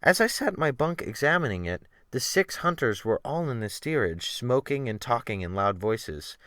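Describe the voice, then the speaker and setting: A man gives a dramatic reading of classic fiction. His phrasing is theatrical and deliberate, with a mid-range voice that rises and falls expressively. The recording is dry, with very little room sound. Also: mid-range voice, big pitch swings, fairly bright tone, dry recording. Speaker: audiobook narrator.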